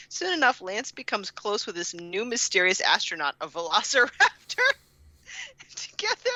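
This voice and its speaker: A woman's voice: a little high, bright, forceful tone, dry recording. A woman co-hosting a podcast, giving comic, mock-dramatic readings and chatting casually, often on the edge of giggles. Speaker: podcast co-host.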